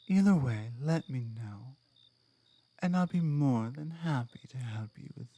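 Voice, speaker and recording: A woman playing a strong, blunt orc woman in an ASMR roleplay, speaking in an earnest, halting and deliberate manner. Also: very deep voice, warm tone, heavily acted. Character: strong proud female orc laborer, blunt, flirtatious, grateful.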